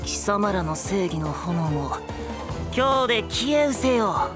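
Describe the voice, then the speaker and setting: A woman's voice: mid-range voice, animated, takes it slowly. A small boy as an imperious demon lord in a comedy anime. The boyish voice swings dramatically, with grand, archaic menace. Music sits behind the words. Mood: menacing, haughty. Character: imperious demon king, as played by little boy. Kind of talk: Japanese voice-anime comedy acting.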